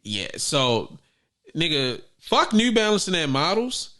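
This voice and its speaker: A man co-hosting a casual sneaker podcast, talking in a profane, animated way that jumps up loud when he jokes. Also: a little high, measured pace. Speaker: podcast co-host. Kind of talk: casual chat.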